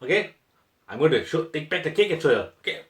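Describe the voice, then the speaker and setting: Playful man with a bouncy, high voice. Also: sing-song, fast talker. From Malaysia. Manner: playful and exclamatory, laughs often, talks to viewers. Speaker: food vlogger. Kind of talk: casual taste-test vlog. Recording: echoey room.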